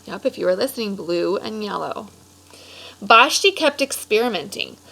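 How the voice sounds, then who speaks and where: A woman's voice: mid-range voice, lively intonation, moderate pace, gravelly, sharp tone, rough recording. A woman teacher reading aloud and explaining to children, instructive and checking in with questions.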